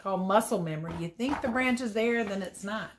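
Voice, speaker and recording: A woman reading a picture book aloud as a teacher would, expressive and playful, with chatty asides about the pictures. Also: medium pitch, a little fast, background noise. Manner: playful, chatty, commenting on the pictures as she goes. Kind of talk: storybook read-aloud with casual asides.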